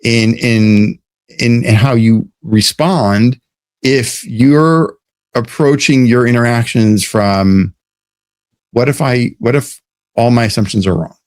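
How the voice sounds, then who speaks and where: A man's voice: medium pitch, slow pace, no room sound. A man from the United States, a guest in an interview, explaining ideas thoughtfully and often restarting his sentences. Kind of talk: casual interview talk.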